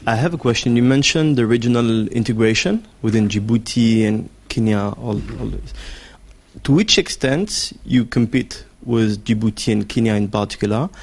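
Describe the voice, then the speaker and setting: A man's voice: mid-range voice, normal speed. A man from the audience at a lecture, asking a direct question with casual phrasing. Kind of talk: question from the floor. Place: lecture hall.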